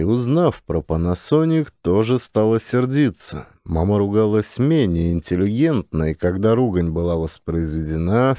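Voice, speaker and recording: A man's voice: on the low side, warm tone, dry recording, studio quality. A man narrating a satirical story as a scripted audiobook.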